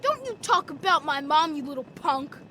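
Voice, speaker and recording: A woman's voice: high-pitched, very animated, moderate pace, sharp tone, a little hiss or hum, full character voice. A woman playing a bratty, greedy young boy bully, whiny and taunting, in a video game. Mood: aggressive, demanding.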